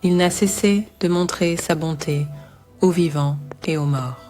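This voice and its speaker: A woman playing an older, devout mother-in-law who gives caring, measured advice in an animated Bible story. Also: on the low side, faint music behind, natural voice. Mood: devout, grateful.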